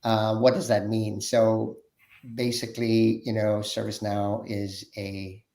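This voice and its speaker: A man introducing himself informally as a panel guest, halting as he searches for words. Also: lowish voice, flat delivery, vocal fry, darkish tone. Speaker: panel guest, tech sales consultant.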